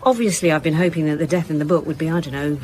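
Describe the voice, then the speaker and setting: Senior woman detective, radio drama, played by an actress: weary, sarcastic, lowish voice, naturalistic delivery. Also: fairly even intonation, racing, a little mellow, slight background noise, close to an everyday voice. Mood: businesslike. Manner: dry, wry, sarcastic. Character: sardonic, weary senior female police detective.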